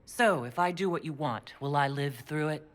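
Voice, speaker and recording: A woman's voice: sits low, normal speed, background noise, natural voice. Woman acting earnest dwarf prisoner in long reverb. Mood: wary, questioning. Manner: earnest, questioning. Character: bewildered female dwarf prisoner protesting her innocence. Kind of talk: dramatic video game voice acting. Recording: long hall reverb, music behind.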